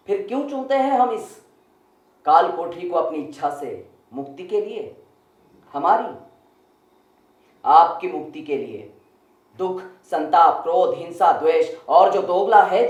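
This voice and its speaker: A man playing an anguished, brooding stage actor, delivering a grandiose, declamatory monologue with dramatic pauses. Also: fairly high pitch. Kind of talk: theatrical Hindi stage monologue. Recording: echoey room, distant microphone.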